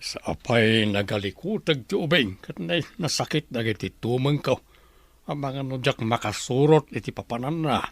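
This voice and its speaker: A man as a creaky, grumbling old grandfather. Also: medium pitch, expressive, normal speed, no echo. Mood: grumbling, reproachful. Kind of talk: Ilocano radio drama acting.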